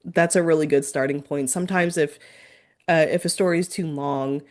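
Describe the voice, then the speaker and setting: A woman with a lowish voice hosts an interview in a relaxed, chatty way. She sounds keen and friendly as she asks her questions. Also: moderate pace. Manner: friendly, enthusiastic, with light laughs. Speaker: podcast host, interviewer. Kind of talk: casual interview chat.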